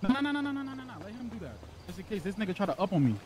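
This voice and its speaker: A man's voice: high-pitched, very animated, racing, darkish tone. A man playing an online role-play game, talking casually in slang and repeating words over voice chat. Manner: slangy, repetitive. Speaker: fellow role-play gamer.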